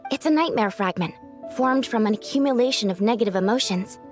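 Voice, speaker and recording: A woman's voice: fairly high pitch, brisk, faint music behind, lightly acted. A woman playing a polite, earnest young yokai woman in a game, speaking courteously and a little hesitantly as she explains. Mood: earnest, explanatory. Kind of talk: anime game voice acting.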